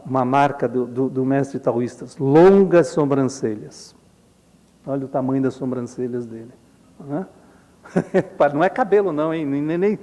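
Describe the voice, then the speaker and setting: Slow-talking Brazilian man lecturing in a hall. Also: mid-range voice, mellow. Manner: conversational, frequent tag questions, chuckles often. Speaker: Jungian analyst lecturing at a symposium. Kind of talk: informal symposium lecture with slides.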